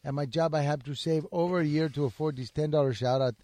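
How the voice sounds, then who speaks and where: A man's voice: fairly high pitch, steady tone, quick pace, dark tone, no room sound, lightly acted. A man reading as a broken-English superfan. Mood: earnest. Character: adult male superfan writing in broken English.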